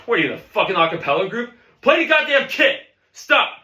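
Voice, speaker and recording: A ruthless, foul-mouthed male band conductor played by a man in a film drama scene. His voice is forceful and cutting, built for interrogation and abuse. The middle-pitched delivery rings in an echoey room. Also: normal speed, lightly acted. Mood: furious shouting. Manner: barking, profane, interrogating. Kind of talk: dramatic monologue performance of a film script.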